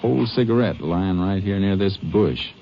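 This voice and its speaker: A man acting a calm, methodical Texas Ranger in a 1950s radio drama, terse and matter-of-fact. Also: deep voice, unhurried. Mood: focused. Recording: muffled, noisy old radio recording.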